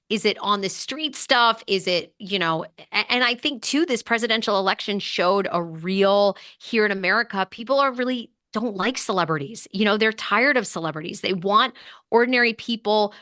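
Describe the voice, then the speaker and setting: Bright, slightly hoarse woman chatting. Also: medium pitch, no echo, studio quality. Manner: fast, animated talk with many fillers. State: slightly hoarse, losing her voice. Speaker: podcast host and guest. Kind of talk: casual chat. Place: Los Angeles podcast studio.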